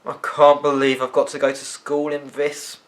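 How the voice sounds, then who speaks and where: A man's voice: on the high side, monotone, moderate pace, voice pushed up, lightly acted. A man voicing a plucky, grumbling young schoolboy for a children's storybook reading.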